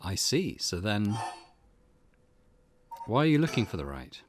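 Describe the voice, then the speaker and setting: A man's voice: lowish voice, big pitch swings, measured pace, a little hiss or hum, voice pushed down. A man reading the part of an earnest, polite young man, considerate and full of questions, in a visual novel. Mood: curious. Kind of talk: visual novel character read-aloud.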